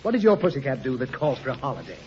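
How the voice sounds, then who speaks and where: A man's voice: medium pitch, very animated, rapid-fire, a little mellow, lightly acted. An actor playing a long-suffering father, pedantic and flustered, in old radio comedy. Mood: patient explaining. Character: exasperated, long-suffering father explaining things to his daughter. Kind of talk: 1940s radio comedy acting. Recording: muffled noisy old radio recording, studio audience.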